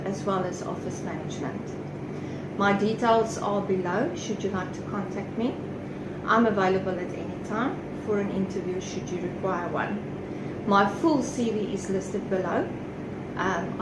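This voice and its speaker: A woman in her fifties presenting herself for a job in a formal, confident way. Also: medium pitch. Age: 50s. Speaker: job applicant. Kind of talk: prepared self-presentation. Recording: echoey room with clear background noise.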